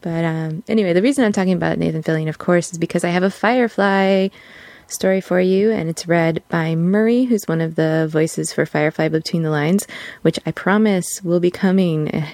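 A woman's voice: fairly low pitch, normal speed, a little mellow, no echo. A woman hosting a podcast in casual, informal chat, acting out imagined remarks as she goes. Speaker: podcast host. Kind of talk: casual chat introducing a fan fiction reading.

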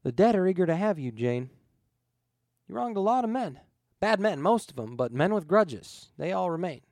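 A man's voice: sits high, sing-song, moderate pace, a little mellow, no echo, studio quality, voice pushed up. A man voicing a shapeshifting spirit posing as a dead pilot, ominous and pleading in an earnest, insistent way.

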